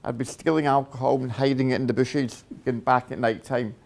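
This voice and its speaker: A middle-aged Scottish man speaks in a mid-range voice, telling his life story in plain, direct words. He fills pauses with "uh" and keeps checking in with "okay?". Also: medium pitch, steady tone, gravelly, darkish tone. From Scotland. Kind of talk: personal testimony talk.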